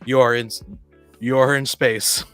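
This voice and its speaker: A man's voice: mid-range voice, little pitch movement, unhurried, a bit bright, smooth, faint music behind. A man in a casual chat, opinionated, often stammering as he restarts his sentences. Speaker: podcast co-speaker, experienced game master.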